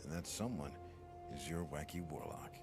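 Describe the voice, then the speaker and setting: A man's voice: extremely low pitch, normal speed, over music. A man acting an earnest, persuasive guardian comrade in an audio drama, with a grandiose manner. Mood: earnest persuasion. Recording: noisy ambient background.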